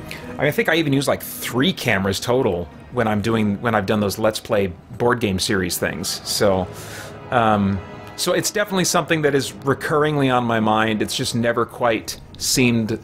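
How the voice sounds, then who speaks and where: Man chatting casually over game music. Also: lowish voice. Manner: rambling, thinking aloud. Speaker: streamer hosting a gaming marathon. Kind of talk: casual live stream chat while playing. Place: small home room lined with shelves.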